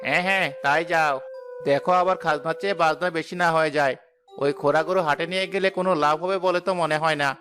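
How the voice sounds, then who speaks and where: A man's voice: a little high, steady tone, brisk, lightly acted. A man voicing a mocking, scornful village workmate in a cartoon, with a jeering manner. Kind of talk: Bangla cartoon voice acting. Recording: music behind the voice.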